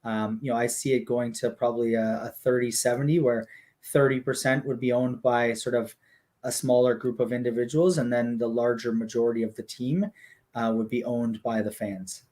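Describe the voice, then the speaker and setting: A man talks through a plan in a loose conversational way. He leans on filler words and keeps an even, explanatory flow. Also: medium pitch, normal speed. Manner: steady, explanatory, many fillers like "you know" and "um". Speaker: guest explaining a hockey league business model.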